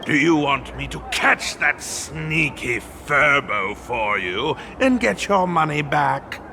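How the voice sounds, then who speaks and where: A man voicing an excitable, polite but feisty pet receptionist in a video game. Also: fairly high pitch, takes it slowly, gravelly, slight background noise, heavily acted. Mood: chirpy, eager. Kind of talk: video game character acting.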